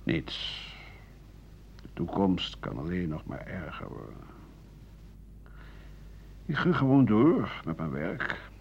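A man playing a weary, embittered writer of 67 in a radio play, grumbling in halting phrases that trail into breathy murmurs. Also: low voice, wildly swooping pitch, darkish tone, full character voice. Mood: resigned. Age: older. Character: embittered, weary 67-year-old French writer and doctor, pessimistic. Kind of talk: radio play monologue as interview answers. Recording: dull, hissy 1980 radio recording.

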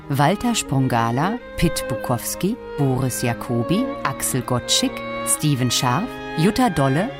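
Low-voiced woman announcer over orchestral music. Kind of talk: scripted radio drama credits.

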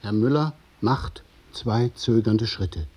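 A man narrating a radio play in the present tense, in a measured, literary storytelling manner. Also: on the low side, a little mellow, breathy edge. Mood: observing. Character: observing narrator of stage directions.

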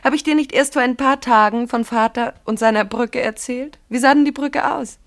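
A woman plays a mother in a radio drama. She is brisk and reassuring, speaking to her child in a fairly high voice. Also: close to an everyday voice. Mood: brisk, soothing. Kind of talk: German radio play acting.

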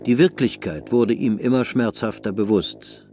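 A man reading the narration of a radio play in a calm, measured way. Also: on the low side, slightly rough. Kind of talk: scripted narration.

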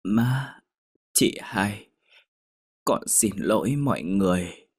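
A man voicing a dying young woman who speaks slowly in a faint, trembling whisper. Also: on the low side, lively intonation, darkish tone, slightly rough, exaggerated voice. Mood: faint, pleading.

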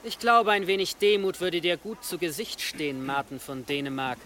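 A man playing a defiant, pious manservant in a radio drama, measured, preachy and sardonic, with faint noise behind. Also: sits high, animated, pushed and bright. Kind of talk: German radio drama acting.

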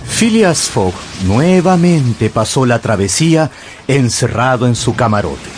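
A man narrating a radio drama from a script in a storytelling, clearly articulated way. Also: medium pitch, sing-song, measured pace. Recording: music behind the voice.

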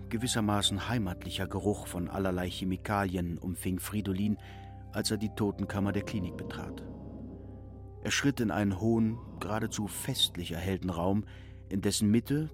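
A man narrating a literary radio play in a scripted storytelling style. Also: fairly low pitch.

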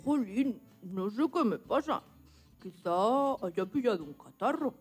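A woman's voice: on the high side, lively intonation, measured pace, slightly rough, faint music behind. A woman voicing a small, anxious and polite piglet in a children's radio play, exclaiming and muttering questions to itself. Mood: unwell, puzzled. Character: small anxious, polite young piglet searching for lost chops.

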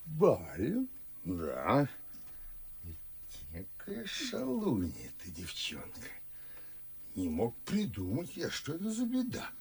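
Gravelly, swooping older gentleman. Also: medium pitch, huge pitch swings, slow, long pauses, heavily acted. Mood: suspicious, playful. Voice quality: gravelly, creaky. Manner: theatrical, swooping, insinuating drawl. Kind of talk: classic verse comedy radio play acting.

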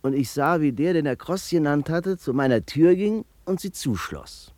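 An actor playing a nervous, talkative barber in a 1950s radio crime play, with a chatty, colloquial manner. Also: male voice, on the high side, animated, moderate pace, a little mellow, no room sound, close to an everyday voice. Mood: uneasy recounting. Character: nervous, talkative Berlin barber, afraid of the courts. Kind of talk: 1950s German radio play acting, first-person narration.

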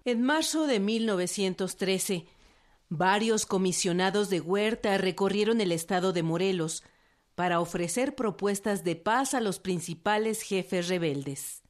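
A woman's voice: mid-range voice, unhurried. Woman narrator, scripted historical narration, radio drama, prominent music bed.

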